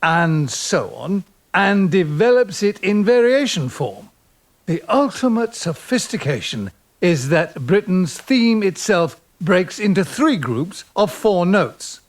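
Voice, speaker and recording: A cultured romantic teacher guy in an audio play, earnest and self-effacing, slow talker, pitch goes up and down a lot. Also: sing-song, exaggerated voice. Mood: enthusiastic. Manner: earnest, erudite, self-deprecating. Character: cultured, romantic male physics teacher, ageing from forties to housebound eighties. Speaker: actor. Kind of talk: audio drama dialogue and narration.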